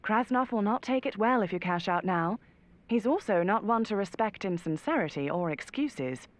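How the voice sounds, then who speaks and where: An actress playing a poised, dryly amused spy handler who guides an agent through an interactive audio game. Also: female voice, medium pitch, animated, moderate pace, close to an everyday voice. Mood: cautioning. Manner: cool, composed, wry. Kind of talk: scripted interactive game narration.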